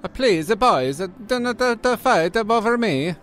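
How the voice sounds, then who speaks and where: Man putting on a high, chirpy, halting fellow. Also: wildly swooping pitch, a bit bright, heavily acted. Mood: chirpy, flustered. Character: chirpy, eager young man picking up Italian inflection. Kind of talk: comedy radio serial acting.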